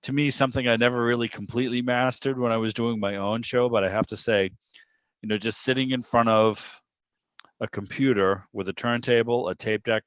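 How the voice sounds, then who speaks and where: Low-voiced man hosting, roomy muffled sound. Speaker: host of a club meeting. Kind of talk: informal interview, conversational and reflective. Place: online video meeting. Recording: dull, roomy video call audio.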